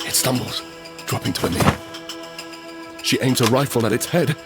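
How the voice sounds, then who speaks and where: An actor playing a frightened survivor in an audio drama, narrating urgently in the first person and present tense in fragmented phrases. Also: male voice, mid-range voice, measured pace, over music, close to an everyday voice. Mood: tense, horrified. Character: desperate, frightened male survivor of a monster apocalypse. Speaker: narrator and lead character.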